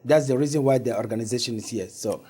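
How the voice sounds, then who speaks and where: A man with a West African accent, a native Ewe speaker, answering haltingly as an interview guest. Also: medium pitch, warm tone, slightly rough. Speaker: interview guest, organization founder. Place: studio.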